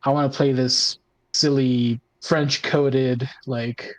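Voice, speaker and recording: A man with a mid-pitched voice talks casually, as a podcast co-host among friends. His delivery is informal and halting, padded with "like" and the odd mild swear word. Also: flat delivery, rough recording. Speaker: podcast co-host and tabletop player. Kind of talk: casual roundtable chat.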